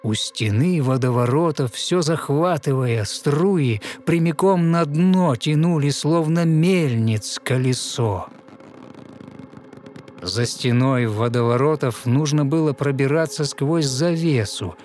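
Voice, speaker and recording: A man narrating a fairy tale in verse, dramatic and measured, pausing expressively between short phrases. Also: a little high, a little mellow, slight background noise. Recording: studio narration over music. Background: music.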